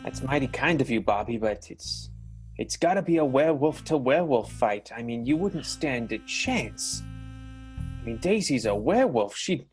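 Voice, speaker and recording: A man's voice: mid-range voice, animated, moderate pace, faint music behind, lightly acted. A man playing a kindly, heartbroken theater manager turned werewolf in an improvised radio drama, speaking hesitantly and repeating himself. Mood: resigned, kindly. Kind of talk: improvised radio soap opera acting.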